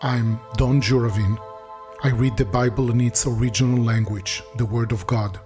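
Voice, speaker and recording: A man's voice: medium pitch, fairly even intonation, moderate pace, a little mellow. A man whose first language is Hebrew, introducing his own talk about the Bible in short, emphatic statements. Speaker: host. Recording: faint music behind.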